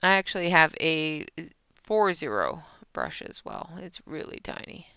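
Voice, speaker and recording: A woman's voice: low voice, very animated, unhurried, sharp tone, clean tone, no room sound. A woman hosting a casual live coloring tutorial, chatting with viewers and talking through each step, sounding stuffy and sniffly with a sinus infection. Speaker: livestream art host. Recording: dull lo-fi, dry sound.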